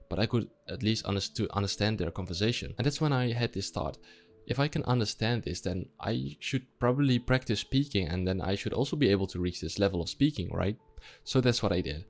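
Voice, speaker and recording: Man, somewhat low voice, casual vlog talk, thinks aloud and asks himself questions. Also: darkish tone. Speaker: language-learning vlogger. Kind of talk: casual vlog monologue to camera.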